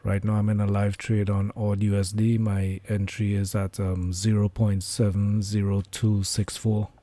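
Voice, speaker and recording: Hoarse man commenting live trades. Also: lowish voice, moderate pace, no echo. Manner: relaxed, thinking aloud with many fillers. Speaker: trading livestreamer.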